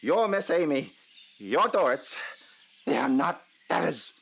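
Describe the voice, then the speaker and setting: Exuberant, wildly swooping investigator. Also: male voice, a little high, very animated, unhurried, creaky, fairly bright tone, slight background noise, exaggerated voice. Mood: excited, jubilant. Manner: theatrical, emphatic, breaking into lists and repetitions. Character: exuberant, eccentric male investigator bursting with triumph. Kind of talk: audio drama acting.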